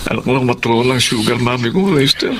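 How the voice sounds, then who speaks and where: A man's voice: medium pitch, lively intonation, a little fast, noisy background, close to an everyday voice. A man acting a battered, regretful young street-wise friend with a Filipino accent in a radio drama. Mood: rueful.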